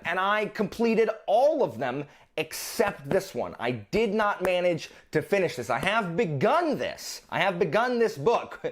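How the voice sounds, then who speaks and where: A man hosting a vlog, talking casually and informally about his reading list with playfully exasperated asides. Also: sits high. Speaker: book vlogger, channel host.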